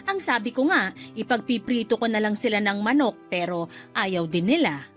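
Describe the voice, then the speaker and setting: A woman's voice: medium pitch, animated, moderate pace, faint music behind, natural voice. A woman playing a fussy, needling mother-in-law. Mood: chatty. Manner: chatty, fussing, needling under politeness. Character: meddling middle-aged mother-in-law, sweetly critical. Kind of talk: radio drama acting.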